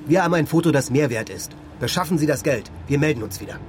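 Actor as fast, cocky amateur blackmailer. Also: male voice, a little high, expressive, noisy background, lightly acted. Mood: cool, defiant. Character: cocky scheming male amateur blackmailer, urging his friend on. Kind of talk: naturalistic German radio crime comedy acting.

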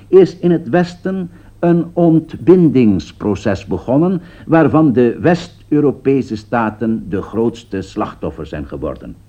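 An actor playing a solemn political commentator in a radio play, delivering formal, rhetorical commentary with foreboding gravity. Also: male voice, mid-range voice. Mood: grave, emphatic. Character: grave male radio political commentator foretelling catastrophe. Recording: dull, lo-fi radio play recording.

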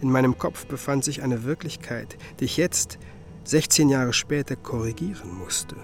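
An actor playing a pensive musicologist who tells his story in a literary radio drama, reflective and measured. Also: mid-range voice, expressive, darkish tone, soft background music, close to an everyday voice. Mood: wistful, musing. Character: pensive male musicologist, wistful first-person storyteller.

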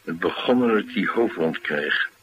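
An actor playing a dry, sardonic, reticent former bodyguard troubled by an old head wound, in a Dutch radio drama. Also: male voice, low-pitched, lively intonation, normal speed, fairly bright tone, breathy edge, highs cut off, exaggerated voice. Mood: brooding, intense.

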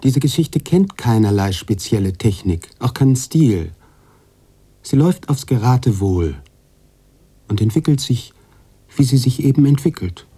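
An actor playing a reflective, sombre storyteller in a radio drama, narrating in a measured, musing way. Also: male voice, mid-range voice, lively intonation, warm tone, breathy edge.

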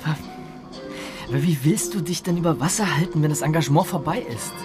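A man's voice: on the high side, quick pace, lightly acted. A man playing a reserved, curious young friend in a German radio drama, speaking in a hesitant, inquisitive and slightly awkward way. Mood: probing, concerned. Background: music.

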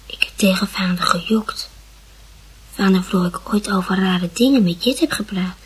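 A very husky, breathy young boy in a radio play. He speaks contritely and confidingly, like a son owning up to his mother. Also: medium pitch, moderate pace, a bit bright, rough recording. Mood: guilty, confessing. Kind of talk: radio drama acting.

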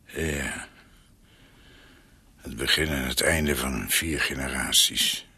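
An actor playing a grave, devoted older doctor in a Dutch radio drama, with a husky voice. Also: male voice, very deep voice, takes it slowly, bright, forceful tone, dry recording, exaggerated voice. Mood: grave, hushed.

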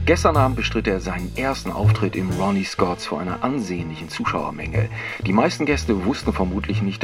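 An actor playing a male jazz radio presenter who announces in a smooth, polished way. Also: low-pitched. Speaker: radio host. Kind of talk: radio play acting.

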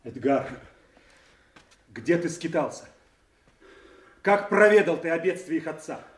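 An actor playing a stern, anxious commanding nobleman in a Shakespearean audio drama, with forceful theatrical declamation. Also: a little high, animated, takes it slowly, echoey room. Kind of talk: classical Shakespearean stage acting for audio drama.

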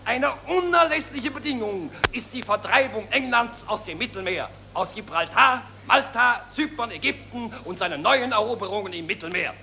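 A man's voice: high-pitched, animated, moderate pace, few pauses, bright, forceful tone, exaggerated voice. A man acting a fanatical German nationalist orator, declaiming stridently at a rally in a 1940s radio play. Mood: strident, demanding. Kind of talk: 1940s radio drama political speech. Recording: noisy 1940s radio recording, crowd behind.

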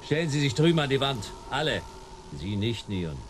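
A man's voice: mid-range voice, big pitch swings, normal speed, background noise, close to an everyday voice. A man playing a laconic, world-weary private detective in a radio play, with dry, sardonic delivery. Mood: cool, commanding. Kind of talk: radio play acting and first-person noir narration.

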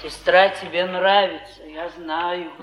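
An actress playing a scorned, scheming, passionate wife in an intense, theatrical Russian stage drama, with a pleading delivery. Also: female voice, lowish voice, steady tone, takes it slowly, fairly bright tone, rough recording. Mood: scheming, urgent. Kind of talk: Soviet 1950s-70s stage drama acting.

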